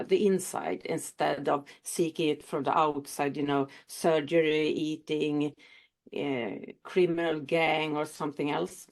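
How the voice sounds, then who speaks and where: A woman from Sweden, introducing her business in halting English with frequent pauses. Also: sits low, darkish tone. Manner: halting non-native phrasing with many pauses. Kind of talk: self-introduction at an online networking meeting.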